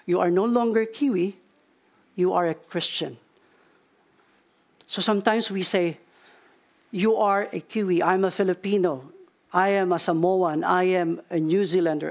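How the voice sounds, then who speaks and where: A man delivering the close of a church sermon and leading a prayer. His voice sits a little high and has a gravelly edge. He speaks earnestly, stacking repeated phrases for emphasis. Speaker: pastor.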